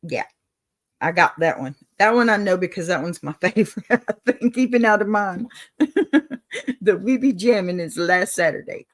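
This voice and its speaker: A woman's voice: mid-range voice, moderate pace. A woman with a Texan accent hosting a casual advice livestream, folksy and encouraging, calling viewers honey and y'all. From Texas. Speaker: advice show host. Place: home setup, on camera.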